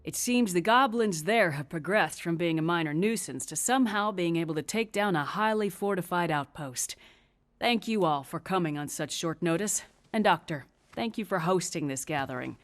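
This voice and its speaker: An actress playing a composed, authoritative leader in a video game, speaking in a formal, measured way. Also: female voice, fairly low pitch, expressive, natural voice. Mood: grave, urgent. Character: composed, authoritative female leader addressing an assembly. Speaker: leader chairing a war council. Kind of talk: video game cutscene acting, formal address.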